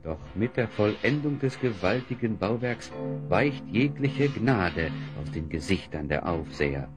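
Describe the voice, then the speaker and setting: A man's voice: fairly low pitch, expressive, measured pace, over music. A man narrating a fantasy audio drama as a solemn, scripted storyteller. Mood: solemn, epic.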